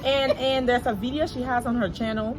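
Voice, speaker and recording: A woman hosting a casual interview, prompting her guest gently. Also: a little high, fairly even intonation, a little fast. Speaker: host. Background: music.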